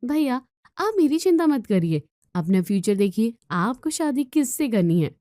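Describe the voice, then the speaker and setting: A spoiled young woman from a moral tale, acted by a female storyteller, pitched high and dryly recorded. She is flippant and lazy, whining and brushing others off with a snooty air. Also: sing-song, normal speed, warm tone, dry recording. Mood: mocking. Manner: flippant, dismissive, whiny.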